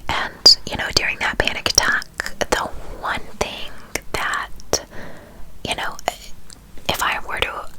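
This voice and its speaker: A woman whispering softly and soothingly to her viewers, calling them her loves. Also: poor audio. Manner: soft, slow, soothing, addresses listeners as "my loves". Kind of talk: whispered ASMR-style talk.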